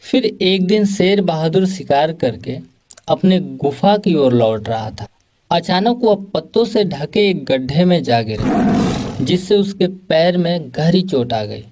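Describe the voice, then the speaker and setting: A man's voice: a little high, dark tone. A man reading scripted narration for a children's moral story.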